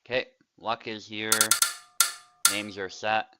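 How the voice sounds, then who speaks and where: A man's voice: on the low side, takes it slowly, heavy background noise. A young man, casually commentating a tournament he is also playing in, chatty and laughing often. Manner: relaxed, chatty, laughs often, trails off quietly.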